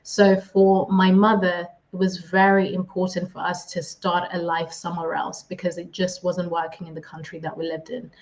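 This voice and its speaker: A woman's voice: lowish voice, level tone, moderate pace, hardly any pauses, darkish tone, dull audio. A woman from Zimbabwe whose first language is Shona, talking as a podcast guest in an earnest, reflective way. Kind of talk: podcast interview.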